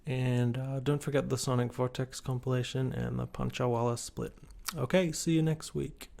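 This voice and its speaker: A man's voice: mid-range voice, fairly even intonation, normal speed. Male podcast host with noisy background. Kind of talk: casual interview chat. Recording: clear traffic and ambient noise behind.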